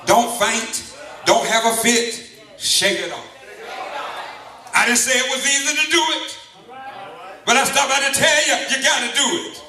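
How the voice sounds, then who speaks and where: High-pitched shouting preacher, echoey. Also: male voice. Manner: shouting, rhythmic, repeating phrases. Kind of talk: call-and-response sermon. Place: large church hall. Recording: echoey hall, far mic, congregation behind.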